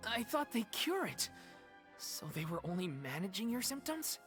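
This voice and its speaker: A woman voicing a candid, caring young troupe member in a video game, expressive and informal. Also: a little high, moderate pace, soft background music. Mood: alarmed. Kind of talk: video game character acting.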